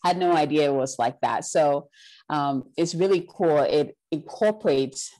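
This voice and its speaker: A woman's voice: low voice, measured pace, dark tone, rough recording. A woman from Ghana, a spiritual healer, talking in an earnest, casual way as an interview guest, with many "you know" and "right" fillers.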